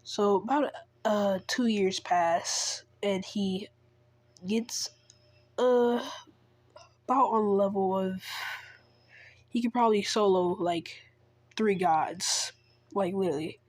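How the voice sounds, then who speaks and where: A woman's voice: medium pitch, slow, long pauses, a little mellow, no room sound. A woman who is sick, casually retelling an invented story in a rambling way, with many fillers and restarts. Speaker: fan storyteller.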